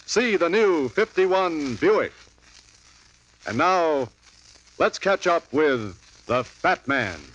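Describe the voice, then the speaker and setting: A man's voice: fairly high pitch, sing-song, drawn-out words, pauses often, a bit bright. A man reading a sponsor's advertisement and introduction in upbeat 1950s radio style. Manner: upbeat, selling. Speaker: sponsor announcer. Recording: dull old radio broadcast.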